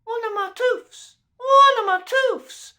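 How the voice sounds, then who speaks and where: A man voicing a spoiled, monstrous baby boy who squeals and gurgles in a very high voice. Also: slow, bright, forceful tone, exaggerated voice. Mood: gleeful. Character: spoiled monstrous male baby with one rotten tooth.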